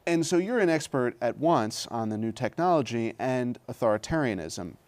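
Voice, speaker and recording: A man hosting an interview, asking long, measured questions with some hesitation. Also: medium pitch, lively intonation, darkish tone. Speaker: interview host.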